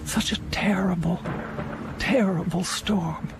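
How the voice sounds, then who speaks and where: A woman's voice: on the low side, steady tone, takes it slowly, background noise. An actress playing a frail, lonely elderly housebound woman in a radio drama, fretful and resigned.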